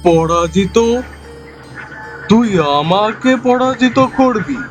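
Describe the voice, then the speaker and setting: A man voicing an arrogant, menacing demon in a fairy-tale cartoon, talking in a drawn-out way. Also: sits high, faint music behind. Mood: gloating, scornful. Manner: taunting, slow, drawn-out boasting. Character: menacing, arrogant male demon, boastful bully.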